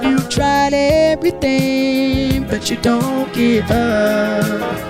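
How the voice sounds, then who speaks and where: Robotic talk box singing voice, high-pitched. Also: level tone, drawn-out words. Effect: talk box, robotic synth-vocal tone. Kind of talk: singing soul covers through a talk box. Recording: loud music in the mix. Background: loud backing music.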